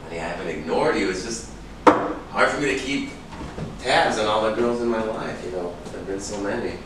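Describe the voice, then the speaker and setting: In a noisy, very reverberant room, a male actor plays a washed-up screenwriter with a lowish voice. He is cynical, proud and defensive, with a sardonic edge suited to a stage reading. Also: moderate pace, lightly acted. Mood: smooth, evasive. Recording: echoey room, distant mic, noisy background hiss.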